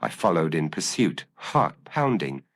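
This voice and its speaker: Deep-voiced man narrating a gothic mystery. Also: very animated, measured pace, a bit bright. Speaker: audiobook narrator.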